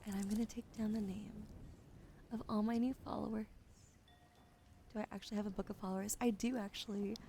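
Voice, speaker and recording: A woman streaming ASMR, whispering softly to her chat and slowly spelling out follower names, now and then swearing. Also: mid-range voice, level tone, a little hiss or hum. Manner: slow and gentle, swears and laughs in between. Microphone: studio mic.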